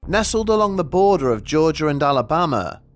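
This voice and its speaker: A man reading scripted mystery documentary narration in a measured, deliberate way with dramatic pauses. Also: on the high side, big pitch swings, no echo, clean studio sound. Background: background music.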